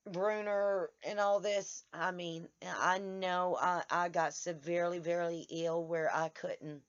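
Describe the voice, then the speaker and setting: Hoarse woman, vlogger, tired, halting delivery full of restarts; muffled home-video sound. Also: on the low side, steady tone. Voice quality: halting, many restarts and stammers. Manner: tired, speaks in short broken phrases. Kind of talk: casual vlog to followers. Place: outdoors in the sun.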